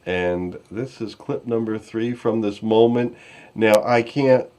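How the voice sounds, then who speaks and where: A man with a low voice hosts a casual show. His commentary rambles along haltingly, in an informal live style. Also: mellow. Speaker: podcast host.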